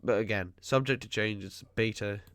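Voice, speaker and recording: Guy with a cold, kinda nasal and stuffy, lowish voice, nitpicky chatty game commentary. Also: a bit bright, no room sound. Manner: nitpicking, chatty, quick asides. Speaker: gaming video host. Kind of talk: casual gameplay commentary.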